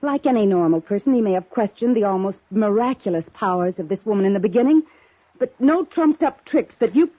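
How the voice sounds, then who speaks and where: A woman's voice: mid-range voice, lively intonation, brisk, no echo, close to an everyday voice. An actress playing an earnest, trusting woman, emotional and dismayed, in 1950s radio drama style. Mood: defensive. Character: earnest, trusting, distraught wealthy woman duped by medium. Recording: muffled old radio recording.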